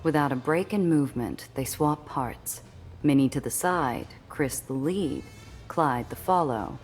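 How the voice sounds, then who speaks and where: A woman's voice: low-pitched, animated, a little slow, a little mellow, soft background music. A woman voicing a cool, observant heroine, narrating her own story in a scripted, wry way for a video game. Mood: composed. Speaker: narrator and protagonist.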